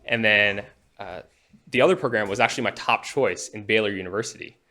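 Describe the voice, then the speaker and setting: Bright-voiced young man giving a testimony. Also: on the low side, brisk. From United States (Alabama). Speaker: church member giving testimony. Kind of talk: personal testimony talk.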